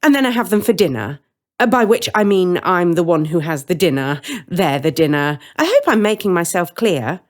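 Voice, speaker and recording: A woman reader acts out a storybook witch who is cheerful and menacing at once. Her pitch swoops widely as she talks in a dry, breezy, emphatic way, with polite menace under the chattiness. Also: big pitch swings, normal speed. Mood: gleefully menacing.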